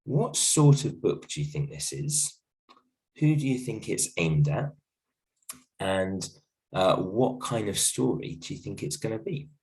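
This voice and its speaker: A male teacher with a croaky voice from illness, giving a gentle, reassuring video lesson from home with many ums and restarts. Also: on the low side, lively intonation, a little slow, poor audio. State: croaky voice from a cold, coughing. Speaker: primary school teacher. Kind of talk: recorded video lesson for a class.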